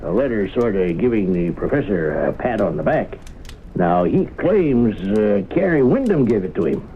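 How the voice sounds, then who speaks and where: An actor playing a plain-spoken, skeptical small-town sheriff, folksy and blunt, in an old radio drama. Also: male voice, lowish voice, big pitch swings, normal speed. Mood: matter-of-fact. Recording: tinny, noisy old radio broadcast.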